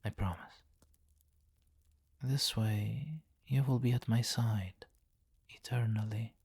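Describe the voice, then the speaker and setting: Man voicing a low, hushed, possessive vampire king. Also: steady tone, slightly rough, no room sound, clean studio sound, exaggerated voice. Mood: seductive, reassuring. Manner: formal, deliberate, domineering, often dropping to a whisper. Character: possessive, seductive, commanding male vampire king. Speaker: audio roleplay performer. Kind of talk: slow intimate ASMR roleplay.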